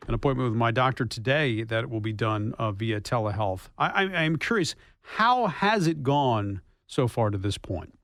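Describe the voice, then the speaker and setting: A man's voice: mid-range voice, lively intonation, normal speed, fairly bright tone, no room sound, studio quality. A man hosting a broadcast interview, asking questions in a conversational way. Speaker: radio show host interviewer.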